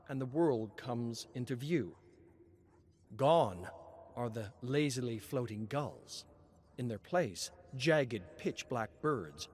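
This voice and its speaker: Slow-paced man narrating a dramatic story. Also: mid-range voice, a little hiss or hum. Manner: measured, solemn storytelling with dramatic pauses.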